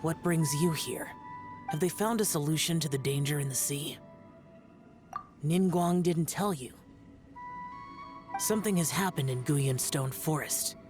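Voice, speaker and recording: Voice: mid-range voice, measured pace, long pauses, close to an everyday voice. Ancient solemn guardian spirit, commanding; actor voicing, music-heavy. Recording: game dialogue with loud music behind.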